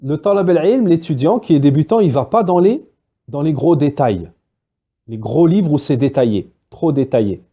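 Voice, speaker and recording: A man gives a spoken religious lesson in a fairly high voice. He is lively and often stops on fillers while he explains. The sound is dull and lo-fi. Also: moderate pace, husky. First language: Arabic-Islamic terms mixed into French. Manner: lively, acts out dialogues, many fillers. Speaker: religious teacher. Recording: dull lo-fi recording.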